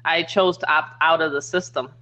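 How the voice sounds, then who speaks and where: A woman's voice: low-pitched, steady tone, normal speed, pushed and bright. A woman hosting a casual online group chat, talking in an opinionated, rambling way with many "you know"s over a video call. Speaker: host.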